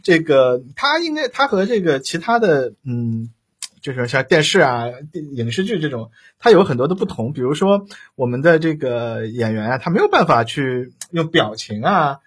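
A man's voice: a little high, big pitch swings, moderate pace, husky. A man hosting a casual live discussion, rambling and repeating phrases as he works toward his questions. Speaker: host.

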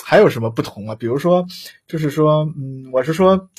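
A man's voice: a little high, lively intonation, fairly quick, darkish tone, breathy edge. A man hosting a casual live discussion, putting questions to guests in a hesitant, rambling way. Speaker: host. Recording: live audio broadcast.

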